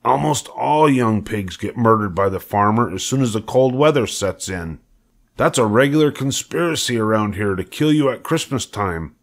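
A man's voice: fairly low pitch, lively intonation, moderate pace, voice pushed up. Man voicing a blunt, world-weary old storybook ewe. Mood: grim, weary. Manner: matter-of-fact, world-weary, snappish. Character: blunt, gloomy old female sheep.

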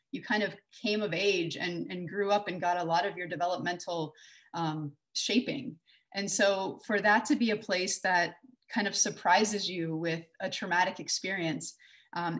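A woman in her forties from Texas giving a conversational conference talk over a video call, with many fillers and restarts. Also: mid-range voice. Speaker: conference speaker. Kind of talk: online conference talk with slides. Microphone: webcam on a video call.

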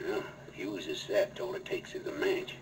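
An American man playing a gruff, grumbling villain's accomplice in 1950s Western radio style. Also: sits low, moderate pace, background noise, natural voice. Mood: sly, gloating.

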